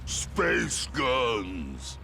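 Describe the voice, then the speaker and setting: A big, territorial mutant brute from a cartoon game. He speaks in blunt caveman fragments, extremely slow and full of gravel. Music runs underneath. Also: fairly low pitch, big pitch swings, shouting, full character voice. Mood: weary, blunt. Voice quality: gruff, gravelly. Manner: slow, broken caveman speech. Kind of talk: cartoon video game acting.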